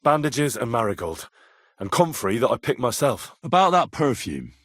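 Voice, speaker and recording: A man's voice: mid-range voice, sing-song, moderate pace, a bit bright, no echo, studio quality. A man playing a stubborn, earnest young man in a video game, speaking plainly and probingly as he investigates. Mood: businesslike. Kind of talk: video game character dialogue.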